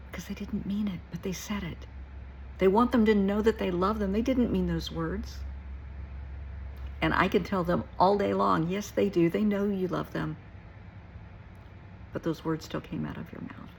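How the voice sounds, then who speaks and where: A woman's voice: on the low side, a little slow, gravelly, long pauses, slight background noise, low-quality recording. A middle-aged woman giving a reflective personal talk to listeners, earnest and emphatic, repeating short phrases for weight. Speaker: therapist and nurse.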